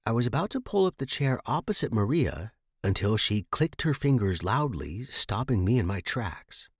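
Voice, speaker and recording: A man's voice: lowish voice, very animated, normal speed, breathy edge, no room sound. A man narrating a scripted story for a fiction podcast, recorded close in a dry space.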